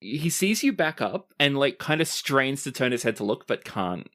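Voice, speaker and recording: A man's voice: medium pitch, big pitch swings, moderate pace, a bit bright. A man narrating a tabletop roleplaying game as its game master.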